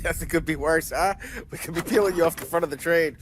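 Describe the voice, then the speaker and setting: An actor playing a hot-headed, foul-mouthed American mob henchman in a video game, brash and excitable. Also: on the high side, fast, close to an everyday voice. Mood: wry. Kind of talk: video game cutscene acting. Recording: loud engine and street noise.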